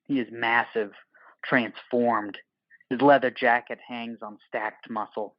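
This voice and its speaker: A man's voice: medium pitch, steady tone, slow, dry recording, highs cut off. A man narrating an audio play from a script in terse, dramatic, clipped phrases.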